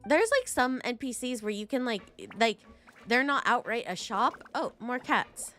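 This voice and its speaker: A woman's voice: a little high, a little slow, a bit bright, soft background music. A woman streaming a game with casual, chatty commentary in a playful, rambling manner.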